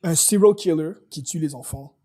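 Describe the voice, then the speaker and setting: A young man with a Quebec French accent, co-hosting a casual chat and excitedly explaining things, mixing English slang into his French. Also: fairly high pitch, normal speed. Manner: animated storyteller who mixes English slang into French. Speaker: podcast co-host.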